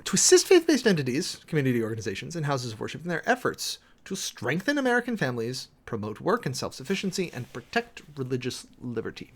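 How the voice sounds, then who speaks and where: A man giving a dramatic reading and close commentary in a formal, deliberate, sermon-like manner with emphatic pauses. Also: mid-range voice, wildly swooping pitch. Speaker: preacher and commentator hosting a show.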